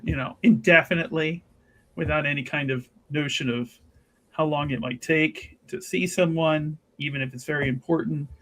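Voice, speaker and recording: A man's voice: fairly high pitch, a bit bright. Tabletop fantasy role: friendly, talkative female visitor, played by a man, sincere and keen to please. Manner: chatty, earnest, ingratiating.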